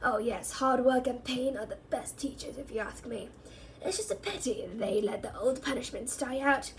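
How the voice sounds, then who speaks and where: A woman's voice: high voice, expressive, moderate pace, distant mic, slight background noise. A woman voicing a spiteful, leering male caretaker in a storybook, gloating with cold, nasty menace. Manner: cackling, coldly menacing, nasty. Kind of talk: storybook character reading.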